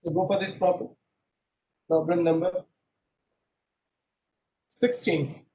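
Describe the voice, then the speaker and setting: A man's voice: high-pitched, fairly even intonation, takes it slowly, dark tone, slight background noise, rough recording. A middle-aged man teaching an online class over a video call, quizzing students and repeating himself, mixing English with Hindi. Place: small room indoors. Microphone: laptop webcam on a video call.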